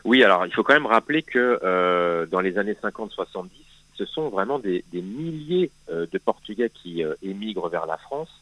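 A man's voice: sits low, lively intonation, normal speed, a bit bright, no room sound, low-quality recording. A man explaining history in a radio interview, hesitant, with many fillers and restarts. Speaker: magazine guest historian.

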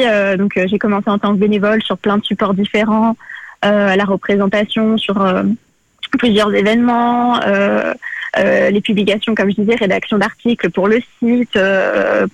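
A woman's voice: mid-range voice, no echo, rough recording. A woman in her twenties, Portuguese-born with Portuguese as her first language and raised in France, speaking as a guest in a radio interview, hesitant with many fillers and restarts. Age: 20s. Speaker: association president, guest.

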